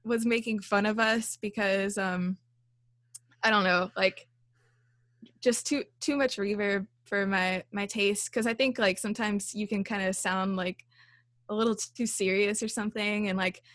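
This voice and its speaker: A young woman with a small, light voice talks about her band in a relaxed interview. She rambles with fillers and laughs often. The sound is dry and close. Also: medium pitch, measured pace, no room sound. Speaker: band leader and songwriter. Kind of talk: casual interview chat.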